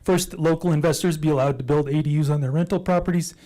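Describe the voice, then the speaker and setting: An older man in his sixties reads his prepared remarks to the council with calm persistence. He leans on facts and keeps an even, persuasive line, and his voice sits slightly higher than most men's. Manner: measured, persuasive, data-driven appeal. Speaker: public commenter. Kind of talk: reading a prepared public comment.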